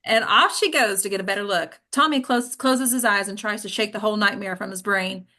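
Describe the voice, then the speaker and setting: A woman's voice: mid-range voice, a little fast, pushed and bright. A woman reading scripted stage directions as narrator at a table read.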